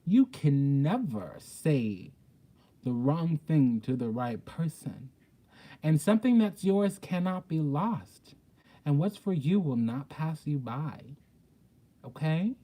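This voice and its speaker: A man's voice: fairly high pitch, big pitch swings, takes it slowly, warm tone, rough recording. A man giving an informal spiritual tarot reading in a blunt, sassy, profane way with emphatic, theatrical delivery. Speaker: tarot reader, host.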